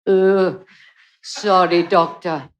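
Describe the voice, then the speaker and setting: An actress playing a servile, solemn vampire assistant in a live radio play, deferential and drawn-out in delivery. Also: female voice, lowish voice, flat delivery.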